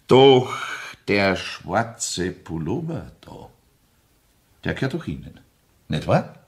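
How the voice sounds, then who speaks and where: An actor playing a persistent, wily police commissioner with a Viennese accent, interrogating in a sly, pressing way in a radio crime play. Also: male voice, sits low, sing-song, takes it slowly, full character voice. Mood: coaxing, sly. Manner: sly, coaxing yet pressing.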